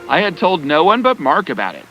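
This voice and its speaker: A man performs a sharp, self-assured young cartoon detective with a fairly high voice and very animated intonation. He lays things out methodically and gives firm orders. Music plays clearly behind him. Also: muffled recording. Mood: accusing. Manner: deliberate, explanatory, authoritative. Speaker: voice actor in animated story. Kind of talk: dramatic cartoon story acting. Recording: background music under the voice.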